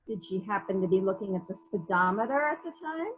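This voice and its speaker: A woman playing a brisk, suspicious police investigator questioning witnesses in a dramatic murder mystery reading. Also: mid-range voice, expressive, muffled recording, a little hiss or hum, close to an everyday voice. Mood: sceptical questioning. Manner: probing, businesslike, sceptical. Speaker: library staff member reading a part.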